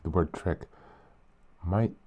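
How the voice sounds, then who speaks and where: A man hosting a reflective self-help podcast, reading aloud in a calm, earnest way, a little hoarse from being sick. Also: deep voice, slow, phone-quality sound. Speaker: podcast host.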